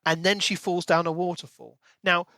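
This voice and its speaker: Bright-voiced British man, analytical podcast co-host. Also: high voice, level tone, normal speed, no echo. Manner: analytical, questioning. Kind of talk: casual book discussion.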